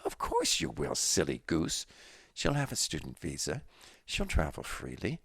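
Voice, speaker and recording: Storybook role: optimistic, reassuring female friend, playful teasing read by a very deep-voiced man. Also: wildly swooping pitch, measured pace, a bit bright, breathy edge, no room sound, studio quality, exaggerated voice. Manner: teasing, encouraging. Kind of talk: storybook dialogue reading.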